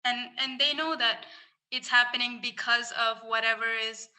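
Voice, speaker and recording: A woman's voice: on the high side, fairly even intonation, normal speed, pushed and bright. A young woman from Singapore, a climate researcher, speaking earnestly as a podcast guest with frequent restarts. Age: young adult. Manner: earnest, thoughtful, many restarts. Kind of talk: casual podcast interview.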